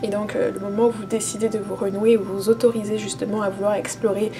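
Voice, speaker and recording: A woman speaks casually to her camera as a spiritual teacher, her voice sitting at an ordinary middle pitch. She talks hesitantly, with frequent fillers and restarts. Soft music plays quietly behind her. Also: little pitch movement, quick pace.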